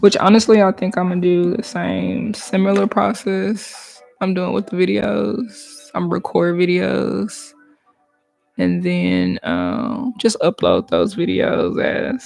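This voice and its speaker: Laid back woman chatting while she draws on stream, kinda gravelly, quiet music underneath. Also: on the low side, takes it slowly. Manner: relaxed, chatty, talks to viewers while working, drops to whispers. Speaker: artist streaming a live drawing session. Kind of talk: casual live-stream chat while drawing.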